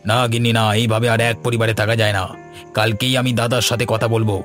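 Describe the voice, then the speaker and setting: A man's voice: fairly low pitch, level tone, fast talker, fairly bright tone, close to an everyday voice. A man playing a resentful, quarrelsome village brother in a cartoon family drama. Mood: fed up. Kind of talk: Bengali cartoon voice acting. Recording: music behind the voice.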